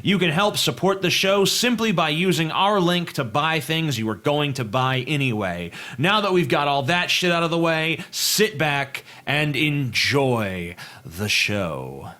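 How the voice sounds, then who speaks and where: A man with a bright, slightly high voice delivers a scripted podcast introduction. He speaks formally and in measured fashion, as the show's announcer. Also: animated.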